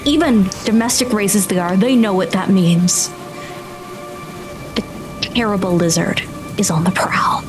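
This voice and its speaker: A woman's voice: mid-range voice, measured pace, pauses often, over music. Woman narrating a tabletop adventure dramatically. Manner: dramatic, vivid storytelling. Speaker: game master. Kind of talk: improvised tabletop RPG narration.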